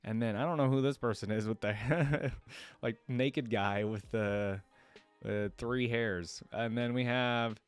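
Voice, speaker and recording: A man hosting a reaction video, casually commenting, reading aloud and thinking out loud as he explains things. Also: mid-range voice, clean tone.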